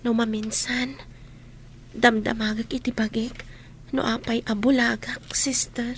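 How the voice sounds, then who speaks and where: A woman's voice: a little high, little pitch movement, unhurried, a little hiss or hum. A woman with a northern Philippine accent playing an earnest, wistful young trainee in a radio drama. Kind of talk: village radio drama acting.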